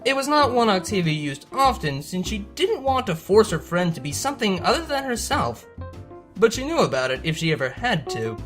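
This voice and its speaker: A young adult woman who narrates fan fiction and chats casually as host of her channel. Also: mid-range voice.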